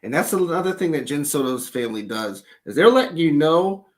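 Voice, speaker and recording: Animated man telling a story on a livestream. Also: fairly high pitch, a little fast. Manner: animated, loose storytelling with profanity. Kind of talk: casual livestream chat.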